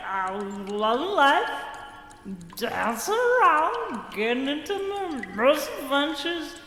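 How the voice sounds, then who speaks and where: An actress playing a drunk, rambling cartoon aunt in a comedic radio play, slurred and slow. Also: female voice, high voice, big pitch swings, fairly bright tone, heavily acted. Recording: echoey room with long reverb.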